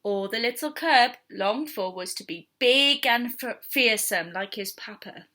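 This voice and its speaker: A woman reading a story aloud to young children in an expressive, theatrical storytelling style. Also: on the high side, a little slow. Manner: theatrical, with whispers and sound effects.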